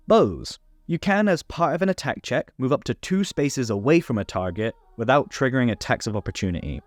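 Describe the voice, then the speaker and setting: A man's voice: mid-range voice, darkish tone, dry recording. A man narrating a scripted tabletop game rules guide, instructional with playful asides. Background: background music.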